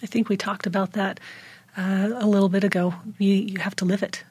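Husky woman, measured and heartfelt. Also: medium pitch, flat delivery, no echo. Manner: measured, heartfelt, softly emphatic. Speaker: panel guest. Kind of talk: earnest discussion of faith.

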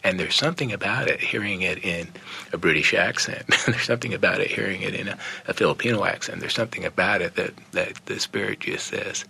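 A man's voice: low voice, brisk, gravelly, bright, forceful tone, breathy edge. An older man on a discussion panel, speaking sincerely and reflectively with frequent restarts. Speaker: panel guest. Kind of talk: earnest discussion of faith.

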